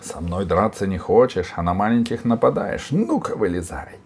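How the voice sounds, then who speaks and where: A man's voice: fairly low pitch, expressive, normal speed, darkish tone, slight background noise. A man voicing a bold, blunt fairy-tale warrior who speaks in a commanding, threatening way. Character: stern, bold, blunt male bogatyr warrior.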